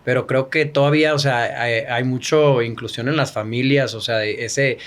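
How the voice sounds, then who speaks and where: A man's voice: mid-range voice, fairly even intonation, moderate pace. A man hosting an interview, speaking in a hesitant way with many fillers and restarts. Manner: hesitant, filler-heavy agreeing. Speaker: interview host. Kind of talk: casual interview.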